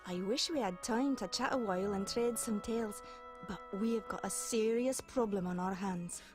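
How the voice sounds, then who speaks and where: A woman's voice: medium pitch, moderate pace, music in the background, close to an everyday voice. A woman playing an earnest, kindly young shepherd leader in a video game, with solemn, formal storytelling diction. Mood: welcoming.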